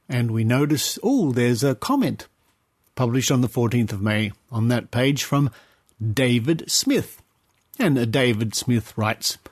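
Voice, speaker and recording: An Australian man hosting a podcast, reading news and commentary in measured, deliberate phrases. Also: mid-range voice. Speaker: podcast host. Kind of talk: scripted news commentary, reading reports aloud.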